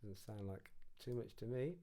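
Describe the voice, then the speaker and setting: A man's voice: on the low side, normal speed, dark tone. A man narrating an informal music production tutorial, casually thinking aloud as he works.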